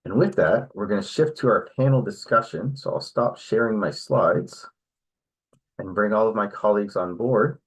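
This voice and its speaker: A man presenting and moderating an online webinar, explaining in a measured way and often checking in with "right?". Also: lowish voice, husky, low-quality recording.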